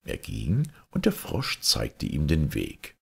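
A man with a very husky, low voice narrates a fairy tale. His delivery is slow, dramatic and deliberate, with a gravelly edge and huge swings in pitch. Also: wildly swooping pitch, fairly bright tone. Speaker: storyteller, audiobook reader.

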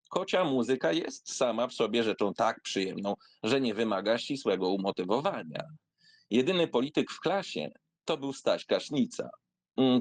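A man reads a literary memoir aloud in a middle-pitched voice. His delivery is theatrical and wry, shaped like conversational storytelling. The sound is dry, with no room on it. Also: no room sound. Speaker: narrator reading a literary essay aloud.

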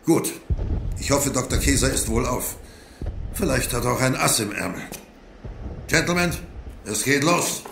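A man playing a steady, fatherly older military commander, calm and gravelly, in an audio drama. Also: mid-range voice, unhurried, pauses often. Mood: resolute. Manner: calm, authoritative. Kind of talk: German audio drama acting. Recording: echoing, noisy battle ambience.

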